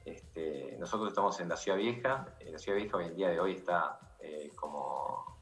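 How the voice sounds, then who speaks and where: A man's voice: deep voice, normal speed, vocal fry. A middle-aged man with a Uruguayan accent, a bar owner speaking remotely as an interview guest in an earnest, matter-of-fact way. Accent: Uruguayan Spanish. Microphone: video call. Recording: echoey video call.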